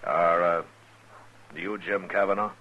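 A low-pitched American lawman of the old West, measured and dryly pointed. He speaks in radio western style, and the recording is dull and lo-fi. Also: fairly even intonation, slight background noise. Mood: firm, probing. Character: steady, dry-humored US marshal of a frontier town.

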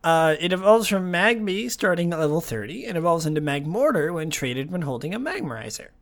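A young male host chats in a loose, wry way, circling back with fillers and restarts. His voice sits fairly high, with a slight hoarse rasp from a long day of talking. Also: big pitch swings, fairly quick, fairly bright tone. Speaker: podcast host. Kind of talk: casual news chat.

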